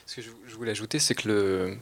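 Gravelly-voiced man explaining haltingly. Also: fairly low pitch. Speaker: audio fiction maker, panel guest. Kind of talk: unscripted panel discussion.

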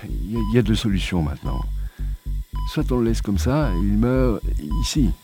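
An actor playing a blunt hospital doctor who haltingly delivers a grim prognosis in a stylized radio drama. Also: male voice, medium pitch, wildly swooping pitch, warm tone. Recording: prominent music under the voice.

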